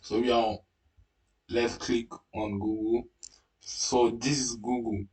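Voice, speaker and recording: A man's voice: medium pitch, flat delivery, slow pace, darkish tone, distant mic, poor audio. A man whose first language is French, talking through a screen tutorial with many fillers and restarts. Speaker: tutorial host. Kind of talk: casual screen-recorded tutorial.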